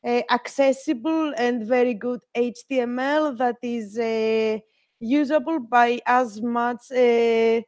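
A young woman from Greece gives a web development lecture over a video call. Her voice sits high, and the sound is close and dry with no room ring. She talks in a friendly way, pausing on fillers. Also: darkish tone, dry recording. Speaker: guest lecturer. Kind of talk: live online lecture.

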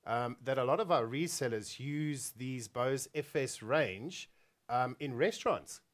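A man's voice: medium pitch, measured pace. A middle-aged man chatting informally as a co-host, addressing viewers and prompting his colleague. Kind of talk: casual chat, addressing viewers. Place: small indoor studio set at a desk.

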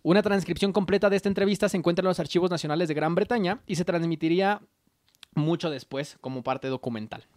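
A man's voice: high-pitched, fast talker, a little mellow, dry recording, studio quality. Mexican man narrating history with slang. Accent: Mexican Spanish. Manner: reads history notes with slangy asides. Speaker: podcast host telling the story. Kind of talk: casual history podcast narration. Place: small home studio with colorful shelves. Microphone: desk mic on arm, headphones.

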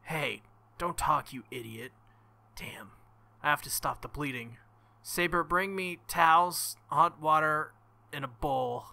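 A man voicing a panicked teenage boy hero in a visual novel, read in a hurried, urgent way. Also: on the high side, vocal fry, bright, forceful tone, no room sound, exaggerated voice.

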